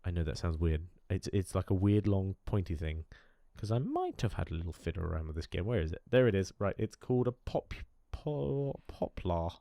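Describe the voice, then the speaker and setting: A man's voice: low-pitched, big pitch swings, normal speed, creaky, hardly any pauses, mellow, no echo, clean studio sound. A man with a cold, nasal and chatty, talking over gameplay with lots of fillers. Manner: chatty, enthusiastic, rambling with fillers. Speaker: gaming YouTuber. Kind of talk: casual game commentary.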